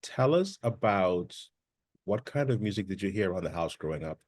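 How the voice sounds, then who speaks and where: A man's voice: deep voice, lively intonation, moderate pace, darkish tone. A man hosting an interview, asking brief, plain questions. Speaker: podcast host, interviewer.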